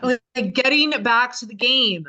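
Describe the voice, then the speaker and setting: A woman's voice: on the high side, moderate pace, bright, forceful tone. A woman reading the part of a bossy game show host in a comedy table read. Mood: brisk, hosting.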